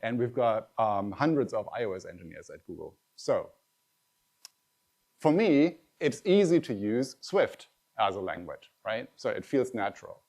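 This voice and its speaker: A middle-aged man whose first language is German, giving a lively, conversational tech conference talk and addressing the audience directly. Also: fairly low pitch, normal speed, vocal fry. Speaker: conference speaker.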